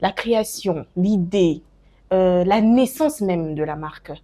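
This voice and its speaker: A woman hosting an interview, attentive and soft-spoken, asking questions and giving brief agreements. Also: fairly low pitch, slow. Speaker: interviewer host. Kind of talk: conversational interview.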